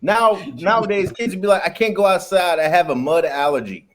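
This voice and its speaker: Male podcast co-host, slightly high voice, playful and quick with jokes. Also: a little hiss or hum. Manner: joking, animated, teasing. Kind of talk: casual podcast banter.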